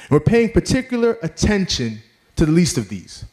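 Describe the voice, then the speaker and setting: A man's voice: high-pitched, very animated, normal speed, a little mellow. A man, a leadership trainer, giving an earnest prepared address that reads out statistics and lists. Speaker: youth leadership trainer and presenter.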